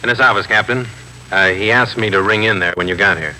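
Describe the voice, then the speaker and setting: A man's voice: lowish voice, fast, sharp tone. A man playing an easygoing, deferential police detective in a squad room scene of a 1950s radio drama. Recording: noisy 1950s radio recording.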